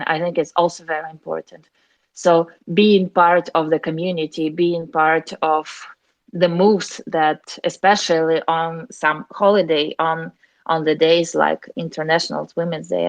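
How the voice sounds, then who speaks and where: A young woman speaks low and evenly, with little rise or fall in her voice. She talks earnestly and carefully as a charity communications officer, often restarting phrases. The recording is close and dry, with no room sound. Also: no room sound. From Ukraine. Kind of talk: interview answers.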